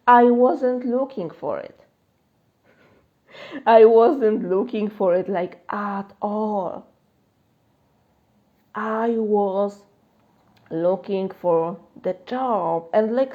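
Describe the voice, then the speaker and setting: A woman's voice: mid-range voice, extremely slow, long pauses, highs cut off. A woman with a Polish accent, a life coach answering questions in a live-stream chat, talking loosely with fillers and frequent laughs. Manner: chatty, many fillers, laughs often. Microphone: phone.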